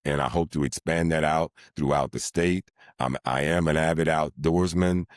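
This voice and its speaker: Very deep-voiced middle-aged man. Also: fairly even intonation, no room sound, studio quality. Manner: deliberate, wordy, formal phrasing. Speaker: sports arena announcer being interviewed. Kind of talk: measured, formal interview talk.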